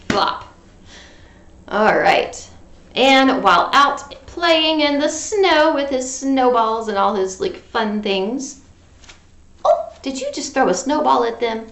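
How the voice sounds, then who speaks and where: A woman's voice: high voice, fairly bright tone, roomy sound. A woman reading a picture book to children in a playful, interactive way, coaxing listeners along. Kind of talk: animated children's read-aloud with sung refrains and asides.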